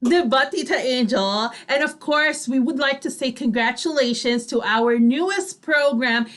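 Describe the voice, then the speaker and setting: A woman's voice: high-pitched, unhurried, a bit bright. A woman co-hosting online radio, upbeat and agreeable as she casually promotes the station. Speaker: online radio show co-host. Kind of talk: casual promotional chat between co-hosts.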